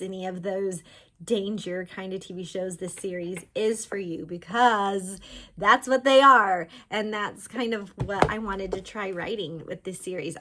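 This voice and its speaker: A woman author talking informally about books, in an animated storytelling way. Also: mid-range voice. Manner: animated storyteller, voicing characters' thoughts in quotes. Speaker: author discussing own books. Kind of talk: chatty informal book talk.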